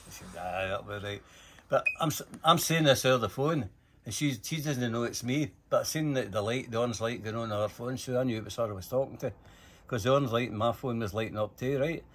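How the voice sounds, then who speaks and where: A man's voice: mid-range voice, a little hiss or hum. An older man with a Scottish accent telling casual anecdotes, swearing freely and acting out the dialogue as he goes. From Scotland. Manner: animated, sweary, acts out dialogue. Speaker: storyteller.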